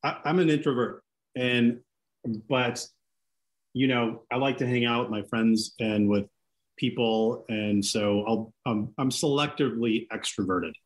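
A man's voice: mid-range voice, steady tone, unhurried, rough recording. A man in his forties with Greek as his first language, talking casually as a podcast guest, with many fillers and restarts. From Seattle. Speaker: interview guest.

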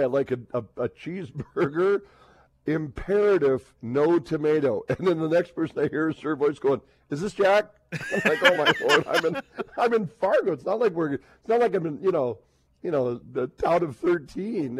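Chatty American man, slightly high-pitched. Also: low-quality recording. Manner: chatty, rambling, acts out quoted exchanges. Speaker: radio host and sportscaster. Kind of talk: casual radio banter.

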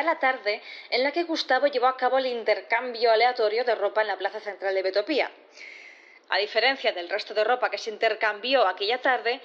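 Casting: female prosecutor, played by an actress in sci-fi audio drama; higher voice, methodical, insistent, formal, slightly dull recording. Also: fairly bright tone, close to an everyday voice. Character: composed, probing female prosecutor in a sci-fi courtroom. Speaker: actor in audio fiction. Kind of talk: audio drama acting, courtroom questioning.

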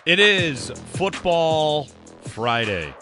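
A man hosting sports talk radio, chatting and joking in an energetic way from a studio. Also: a little high, very slow, over music. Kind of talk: casual chat.

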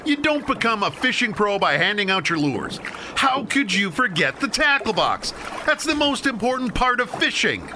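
A man acts out an impatient fisherman in a radio play. His high voice is animated and testy, set against noisy water ambience. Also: normal speed, full character voice. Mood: exasperated. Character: exasperated, impatient fisherman bickering with his partner.

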